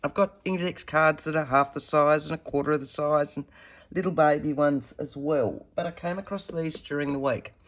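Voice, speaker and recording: A woman, slightly croaky from a head cold, chatting casually as she demonstrates a paper craft while working with her hands. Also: sits low, steady tone, normal speed. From Australia. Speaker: craft tutorial host.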